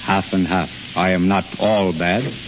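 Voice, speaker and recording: A man's voice: sits low, fairly even intonation, unhurried. A man acting a brash, cocksure unqualified healer, blunt and theatrical in a 1930s radio drama. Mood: taunting. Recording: muffled, noisy 1930s radio recording.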